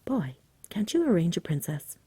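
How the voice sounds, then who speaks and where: A woman voicing a practical, offhand male knight from a storybook in a soft, breathy whisper. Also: lowish voice, sing-song, moderate pace, no echo, full character voice. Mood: brisk reminding.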